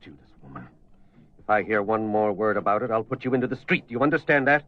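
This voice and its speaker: A male actor plays a domineering middle-aged father in a radio play. His voice sits low and he speaks in clipped commands. The recording is dull and lo-fi. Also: expressive, fast talker, no echo, lightly acted. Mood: angry, commanding. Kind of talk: radio drama acting.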